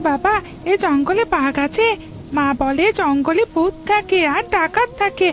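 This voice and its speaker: A woman as a very high-voiced little boy. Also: a little slow. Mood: scared, whining. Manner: childlike, plaintive. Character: frightened, sweet little village boy. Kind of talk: cartoon voice acting.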